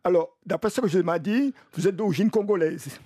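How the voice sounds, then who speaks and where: A man with a Martinican accent hosting a live radio discussion, explaining deliberately and repeating phrases as he builds his point. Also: sits high, mellow, dry recording, studio quality. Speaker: radio host.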